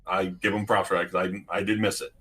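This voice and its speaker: Rambling, low-voiced male player. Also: flat delivery, fast, fairly bright tone. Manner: rambling, self-correcting, loose and chatty. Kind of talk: casual tabletop game chat.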